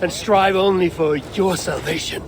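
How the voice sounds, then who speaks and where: A resolute god on a quest in a video game. He speaks formally and persuasively, fairly high for a man, with clear noise around the voice. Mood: earnest, pressing. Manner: measured, formal, firm. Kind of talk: video game cutscene acting. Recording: game audio under noisy ambience.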